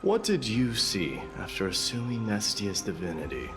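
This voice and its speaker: Casting: proud, stoic young warrior prince for fantasy game; deep register, formal and resolute, light music underneath. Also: male voice, wildly swooping pitch, moderate pace. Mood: wry. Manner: formal, resolute, grandly worded. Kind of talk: dramatic video game acting.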